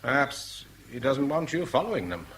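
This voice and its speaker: A man's voice: mid-range voice, very animated, normal speed, fairly bright tone. An actor in a vintage British radio drama playing an exclamatory, genteel Englishman with a British accent. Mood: puzzled. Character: excitable, puzzled English gentleman husband. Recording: faintly hissy vintage radio drama recording.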